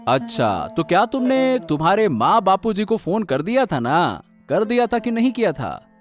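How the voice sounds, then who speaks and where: An actor playing an impatient, nagging young husband in an animated moral story. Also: male voice, high-pitched, normal speed, faint music behind.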